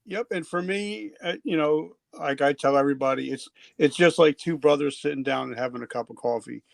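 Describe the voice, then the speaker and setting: A man's voice: a little high, brisk, creaky, dry recording. An older man from New Jersey hosting an unscripted podcast, chatting in a casual, rambling, jokey way. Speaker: podcast host.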